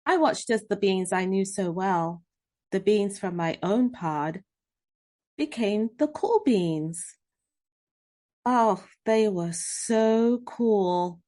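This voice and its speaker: A woman narrating a children's storybook, reading expressively with drawn-out emphasis and dramatic pauses. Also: mid-range voice, animated, dark tone. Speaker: read-aloud host narrating children's books. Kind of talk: scripted children's storybook narration in first person.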